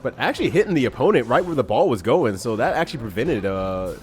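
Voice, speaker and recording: A young man giving excited, laugh-filled commentary on a video game with friends, reacting with loud exclamations. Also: mid-range voice, quick pace. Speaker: let's player commentator. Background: game music.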